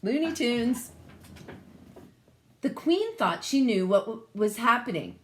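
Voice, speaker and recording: A woman reading a children's story aloud expressively, adding casual asides as she goes. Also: a little high. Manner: expressive, pausing to comment on the pictures. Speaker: picture-book reader.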